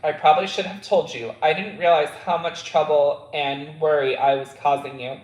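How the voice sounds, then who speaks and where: Man reading a caring, earnest mother, a bit high-pitched, echoey room. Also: steady tone, moderate pace, natural voice. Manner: plainly read dialogue with small slips. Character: caring mother, middle-aged woman, earnest.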